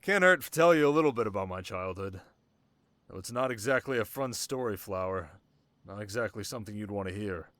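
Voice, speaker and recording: An actor playing a sharp, haunted young king in an intimate ASMR romance roleplay, talking wryly and dramatically to his listener. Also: lowish voice, lively intonation, a little fast, no echo. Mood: wry teasing. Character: young desert king, sharp, wry, haunted, teasingly tender.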